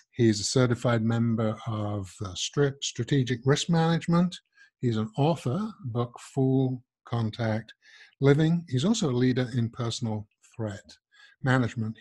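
Older guy hosting a podcast from his study, a bit husky, friendly and jokey, earbud mic. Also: mid-range voice, a little mellow. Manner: friendly, lightly joking introductions. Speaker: podcast host. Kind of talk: podcast interview. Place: home study with bookshelves. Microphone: wired earbud mic on a video call.

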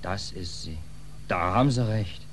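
An actor playing a wry, hapless stateless drifter in a 1940s German radio play, deadpan and mock-polite. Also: male voice, lowish voice, a little slow, lightly acted. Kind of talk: 1940s German radio play acting and first-person narration. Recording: slightly noisy 1940s radio recording.

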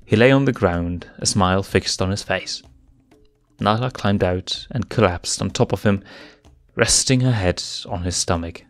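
Low-voiced man reading a story aloud. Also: a little slow, no room sound, faint music behind. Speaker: fanfiction reader. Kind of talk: storybook narration.

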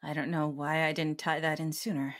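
Low-voiced, skeptical woman detective, played by an actress. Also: flat delivery, a little fast, close to an everyday voice. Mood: dry, questioning. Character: dry, skeptical female police detective. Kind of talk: radio detective drama acting.

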